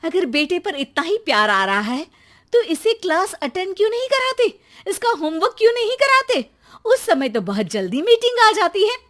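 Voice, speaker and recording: A woman playing an exasperated, quick-tempered mother who scolds with sarcasm in a cartoon story. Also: very high-pitched, big pitch swings, fairly bright tone, voice pushed up, heavily acted. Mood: exasperated rant. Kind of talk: Hindi cartoon story dialogue.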